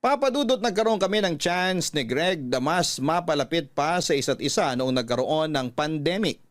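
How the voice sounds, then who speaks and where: A man with a Filipino accent reading an emotional first-person story as a radio drama narrator, with deliberate, dramatic phrasing. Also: on the high side, animated.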